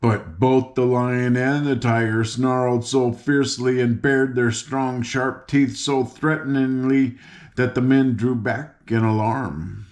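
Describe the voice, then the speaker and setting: An older man with a mid-pitched voice narrates a storybook as if at home with listeners. He is informal and folksy, slipping into little asides and tripping over the odd word. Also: fairly even intonation, slow pace, darkish tone. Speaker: audiobook reader. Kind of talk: read-aloud storybook narration with chatty asides.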